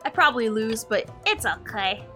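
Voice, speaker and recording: A woman's voice: on the high side, sing-song, normal speed, bright, forceful tone. A woman giving casual, playful live game stream commentary, often repeating her phrases. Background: game music.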